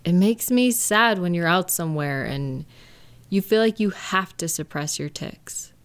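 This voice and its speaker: A woman acting a gentle, caring partner in an intimate comfort roleplay, speaking in a tender, unhurried, reassuring way. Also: on the low side, clean tone, lightly acted. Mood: sympathetic, soft. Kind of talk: roleplay comfort audio, intimate one-sided conversation.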